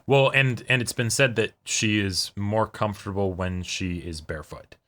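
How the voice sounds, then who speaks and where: A man with a bright, forceful timbre set at a fairly low pitch, musing in casual conversation. He often restarts his sentences as he works his thoughts out. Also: normal speed. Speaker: co-host reacting to an anime. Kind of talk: casual discussion.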